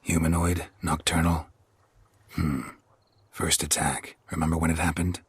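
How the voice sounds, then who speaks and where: Game hero: gruff monster hunter for hire, low and extremely raspy, terse deadpan delivery. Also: male voice, a little slow, dry recording, exaggerated voice. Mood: probing, terse. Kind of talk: video game character acting.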